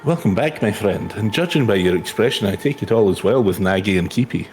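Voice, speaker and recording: Poetic, low-voiced wandering minstrel played by a man. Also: lively intonation, darkish tone, close to an everyday voice. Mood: welcoming. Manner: flowery, measured, reassuring. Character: kindly, poetic wandering minstrel in a fantasy game. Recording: music in the background.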